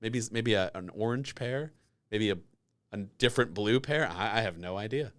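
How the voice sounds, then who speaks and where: A man's voice: on the low side, normal speed, fairly bright tone. Male podcast host, clean resonant voice, informal and rambling conversational delivery. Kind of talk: casual tech podcast chat.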